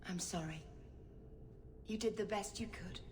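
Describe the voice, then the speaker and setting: A woman's voice: medium pitch, measured pace, darkish tone, close to an everyday voice. Woman playing a solemn young warrior, noisy background. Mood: sad. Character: young female warden, bitter, weary sister.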